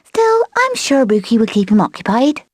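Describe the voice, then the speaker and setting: Cheeky, animated handmaid. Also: female voice, fairly high pitch, normal speed, husky, dry recording. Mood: teasing. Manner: sardonic, chatty. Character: cheeky, gossipy young handmaid, teasing and sulky. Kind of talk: video game cutscene acting.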